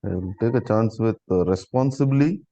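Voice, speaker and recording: Male quiz player, mellow and creaky, casual short answers, slightly dull lo-fi sound. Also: on the low side, moderate pace, dry recording. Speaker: quiz contestant.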